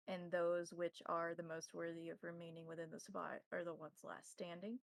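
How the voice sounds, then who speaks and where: A woman narrating a tabletop role-playing game as game master, in measured, formal wording. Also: fairly low pitch, vocal fry, dry recording, low-quality recording.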